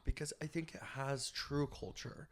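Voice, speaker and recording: A young man from Iraq, raised in the United States, chatting as a podcast guest in an animated, gossipy way with lots of "like". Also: mid-range voice, moderate pace. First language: Arabic. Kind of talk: casual chat.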